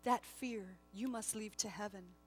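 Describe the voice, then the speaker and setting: A woman voicing a solemn, firm male angel who speaks in grave, measured admonishment in a storybook reading. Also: medium pitch, husky, long reverb tail, faint music behind. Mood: calm, firm.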